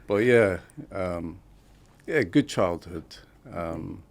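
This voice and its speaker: A man in his forties speaks as a podcast guest, his voice very low and gravelly. He recalls his past in an unhurried, reflective way. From born in Syria to Iraqi parents, raised in England. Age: 40s. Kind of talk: casual interview chat.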